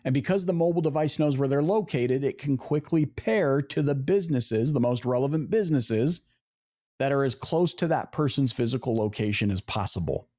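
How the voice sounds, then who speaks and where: A man hosting a podcast, talking casually to his audience about marketing in an emphatic way with asides and pauses for effect. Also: medium pitch, lively intonation, warm tone. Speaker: podcast host. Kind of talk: casual marketing talk to viewers.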